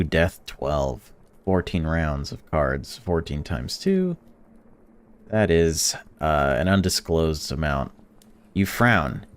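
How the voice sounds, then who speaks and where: A young man in a let's-play, reading a visual novel aloud and reacting to it, swearing freely and swinging between excited outbursts and flat reading. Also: sits low. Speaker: gaming YouTuber.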